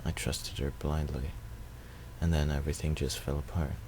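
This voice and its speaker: A man's voice: very deep voice, level tone, moderate pace, darkish tone, a little hiss or hum. A man reading first-person visual novel narration aloud.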